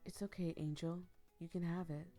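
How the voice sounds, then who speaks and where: A woman reading the lines of a shy, soft-spoken young man from a visual novel in her own lightly hoarse voice. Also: on the low side, flat delivery, moderate pace, soft background music, natural voice. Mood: gentle. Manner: quiet, gentle.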